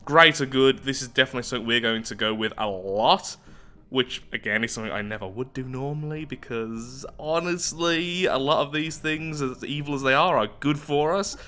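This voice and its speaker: A man's voice: medium pitch, moderate pace, bright, forceful tone. A man giving chatty roleplay commentary on a strategy game, thinking aloud as he goes. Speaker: gaming YouTuber host.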